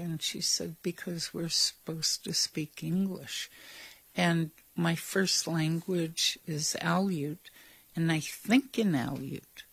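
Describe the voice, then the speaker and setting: An older woman from Alaska, speaking in a documentary interview and slowly recalling her childhood village. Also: sits low, vocal fry, slightly rough, no room sound. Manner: slow, reflective storytelling.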